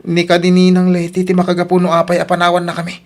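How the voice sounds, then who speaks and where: A man's voice: high-pitched, monotone, quick pace. A man acting an earnest, hurt elder brother in an Ilocano audio drama, speaking somberly with an Ilocano accent. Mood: grave, reproachful.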